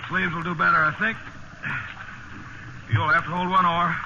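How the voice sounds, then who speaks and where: An actor playing a hardworking, dutiful young sailor in a 1950s radio drama, plain-spoken and folksy. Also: male voice, sits high, flat delivery, moderate pace, creaky. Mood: eager. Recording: muffled, very noisy old radio recording.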